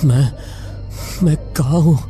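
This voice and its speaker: A man playing a frightened survivor of a haunting in a horror story, halting and trembling, repeating his words. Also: on the high side, warm tone, pitched above the performer's own voice. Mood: dazed.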